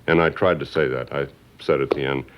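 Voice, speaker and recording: An older man speaking haltingly and deliberately in a reflective interview. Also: extremely low pitch, steady tone. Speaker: former magazine executive interviewed. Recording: slightly dull recording.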